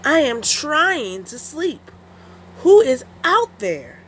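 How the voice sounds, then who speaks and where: A woman's voice: high voice, very animated, slight background noise, voice pushed up, heavily acted. A woman voicing a young, mischievous male wolf in a children's storybook. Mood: annoyed. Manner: loud drawn-out cries for help. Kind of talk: children's storybook read-aloud.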